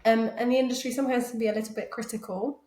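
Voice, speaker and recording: A young woman co-hosting a podcast, asking measured questions. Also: a little high, fairly even intonation, darkish tone. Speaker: podcast co-host. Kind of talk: casual interview questions. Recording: echoey room.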